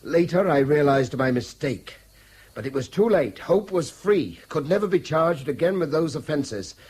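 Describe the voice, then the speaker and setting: An actor playing a guilt-ridden but principled British man in a radio drama, speaking in formal, measured, articulate sentences. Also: a little high, animated, a little mellow, slightly rough. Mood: remorseful, indignant. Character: guilt-ridden, principled, indignant educated man under threat. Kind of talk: BBC radio drama acting.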